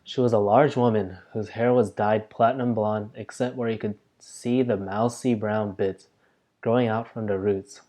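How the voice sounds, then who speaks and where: A man's voice: lowish voice, fairly even intonation, normal speed, dark tone. A man reading a children's story aloud as scripted narration. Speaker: volunteer reader.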